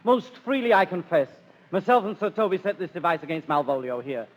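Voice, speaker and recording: A high-voiced, contrite household prankster. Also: male voice, very animated, a little fast. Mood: confessing. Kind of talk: 1930s radio Shakespeare acting. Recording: muffled 1930s radio recording.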